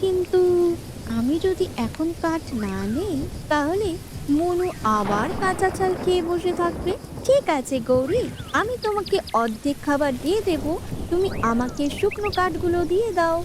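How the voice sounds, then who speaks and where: A caring cartoon mother bird, played by a woman, with an extremely high voice. She explains things earnestly and politely, sounding a little worried. Clear noise runs behind her. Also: animated, normal speed. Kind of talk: children's cartoon fairy-tale acting.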